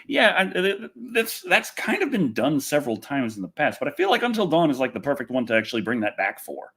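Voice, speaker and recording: A man hosting a livestream and reviewing films in casual, excitable, rambling chat, repeating himself and swearing freely, with a husky voice. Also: medium pitch, brisk. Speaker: livestream host and film reviewer.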